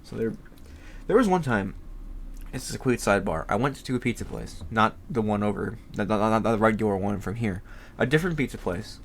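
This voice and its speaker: A man's voice: fairly low pitch, lively intonation, a little fast, slight background noise. A young man with a congested voice, rambling casually as a podcast host, swearing freely and laughing often.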